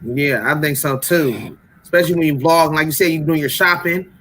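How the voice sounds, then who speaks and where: A man hosting a casual group chat, excitable and quick to exclaim and laugh. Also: a little high. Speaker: host.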